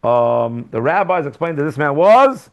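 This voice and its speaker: A rabbi giving a lively Torah class in a classroom, asking rhetorical questions with sudden jumps in pitch and loudness. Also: male voice, unhurried, gravelly. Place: classroom with students.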